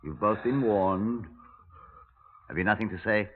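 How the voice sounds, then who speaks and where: An actor playing a stern, formal police detective in a 1950s radio drama. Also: male voice, fairly low pitch, a little slow, a little hiss or hum, natural voice. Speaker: narrator and detective. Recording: tinny narrow-band 1950s radio.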